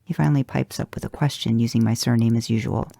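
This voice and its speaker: Mellow, creaky-voiced woman narrating a story. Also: extremely low pitch, fairly even intonation, quick pace, no room sound, clean studio sound. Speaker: podcast host reading fan fiction. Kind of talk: first-person scripted narration, expressive storytelling.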